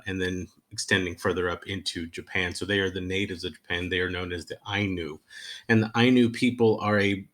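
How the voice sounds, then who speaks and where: A man giving an unscripted history lecture as a professor, speaking haltingly with many fillers and restarts. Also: deep voice, a bit bright. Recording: recorded lecture.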